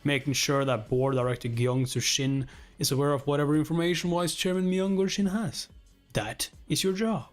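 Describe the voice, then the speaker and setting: A man's voice: fairly high pitch, fairly even intonation, normal speed, soft background music, lightly acted. A man voicing a severe male company boss in a webtoon read-along, curt and accusing with his staff. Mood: cold, scornful. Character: cold, demanding male corporate boss.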